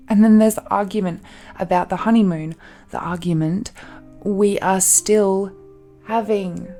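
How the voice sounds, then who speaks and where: Woman voicing a dragon-blooded fiancée, romantic audio roleplay, possessive yet adoring, very slow halting delivery, soft dark tone, emotional sighs. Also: mid-range voice. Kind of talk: intimate romantic audio roleplay addressed to the listener.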